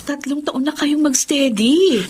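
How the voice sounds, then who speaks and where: A woman's voice: high-pitched, measured pace, a little mellow, slight background noise. An actress playing a frank, supportive young woman friend in a Filipino drama, familiar in manner, with a Filipino accent. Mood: probing.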